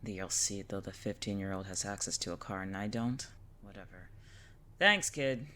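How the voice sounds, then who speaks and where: A woman's voice: very deep voice, moderate pace, heavy background noise. A woman playing a brash, jaded witch in an audio drama, speaking with wry, breezy, theatrical sarcasm. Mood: sardonic.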